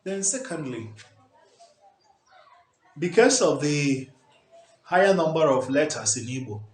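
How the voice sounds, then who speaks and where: A man's voice: fairly high pitch, expressive, a little slow. A man, teaching a language lesson at a whiteboard, emphatic and checking in with "okay?". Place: small indoor room before a whiteboard. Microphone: camera at close range. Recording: echoey room, faint background hiss.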